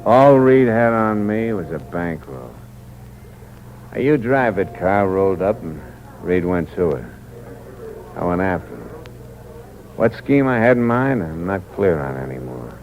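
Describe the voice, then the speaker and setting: A man's voice: low voice, animated, a little slow, pauses often. An actor playing a mortally wounded young ex-convict who tells his story in tough, hard-boiled speech. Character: dying young ex-convict drifter. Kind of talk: 1950s radio drama acting. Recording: dull old radio recording with hiss.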